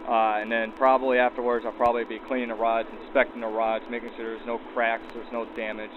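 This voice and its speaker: A man's voice: medium pitch, level tone, fast. A man on a train crew explaining his work unscripted in a matter-of-fact way, through an old radio filter. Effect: backdated 1940s radio filter. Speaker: train crew newcomer, interviewee. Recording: tinny radio sound, loud vehicle noise.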